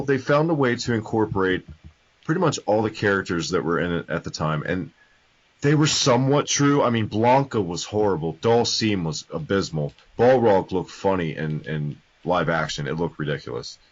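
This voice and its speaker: A man's voice: sits low, animated, moderate pace. A man co-hosting a casual podcast, laid-back and opinionated, swearing casually. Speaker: podcast co-host. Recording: dull lo-fi audio.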